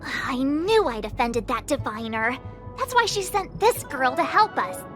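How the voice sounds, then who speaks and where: An actress playing a perky, curious, outspoken teenage girl adventurer in a video game, with an expressive girlish delivery. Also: sits high, unhurried, full character voice. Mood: grumbling. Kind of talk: animated video game voice acting. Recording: loud game music behind voice. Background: loud music.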